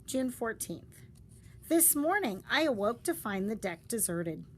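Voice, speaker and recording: Expressive woman reading a story aloud, animated pitch. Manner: deliberate, dramatic storytelling delivery. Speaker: storybook reader. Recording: faint background noise.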